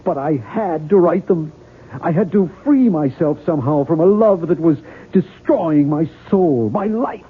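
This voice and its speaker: Tormented novelist, husky voice, earnest dramatic delivery, 1970s radio drama, narrow-band broadcast sound. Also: male voice, on the high side, expressive. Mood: anguished, obsessed. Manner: earnest, dramatic, deliberate phrasing. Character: tormented, obsessive male novelist in love.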